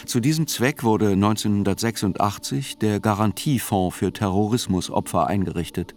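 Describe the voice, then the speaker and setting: An actor narrating a radio play as a sober court chronicler, with measured, matter-of-fact and deliberate phrasing. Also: male voice, lowish voice, darkish tone, close to an everyday voice. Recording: music under the voice. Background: music.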